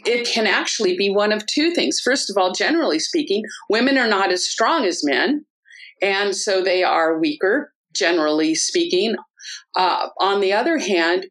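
A woman's voice: mid-range voice, fairly bright tone, low-quality recording. A woman in a casual talk-show discussion, explaining a Bible passage in an earnest, teaching way. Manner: earnest, teaching tone with frequent restarts.

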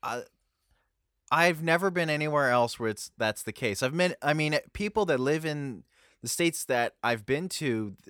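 A man's voice: on the high side, expressive, unhurried, a bit bright, no echo, studio quality. A man co-hosting a casual podcast, easygoing and chuckling as he agrees and adds jokes. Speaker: podcast co-host.

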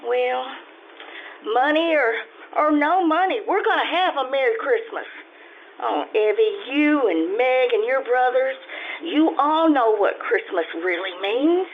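Actress as a high-voiced, fretful, tender mother. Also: expressive. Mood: tender, reassuring. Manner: fretful, tender, drawn-out delivery. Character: gentle, worried widowed mother of a young girl. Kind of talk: old-time radio drama acting. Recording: tinny, lightly noisy radio-play recording.

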